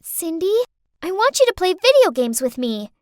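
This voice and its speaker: A woman acts out a young storybook girl with a very high voice. She is whiny and defiant, a dramatic little schemer. Her pitch swoops about in a dry, close sound. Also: very animated, normal speed, no room sound. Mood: pestering.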